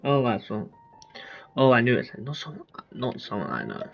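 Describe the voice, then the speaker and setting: A man's voice: medium pitch, wildly swooping pitch, normal speed, vocal fry. A man giving casual live gameplay commentary as a streamer, repeating himself in off-hand reactions. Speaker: game streamer. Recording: game audio and music behind voice.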